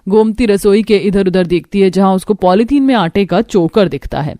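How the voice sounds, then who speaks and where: A woman's voice: mid-range voice, moderate pace, warm tone. A woman narrating a cartoon story in a dramatic, scripted storytelling style.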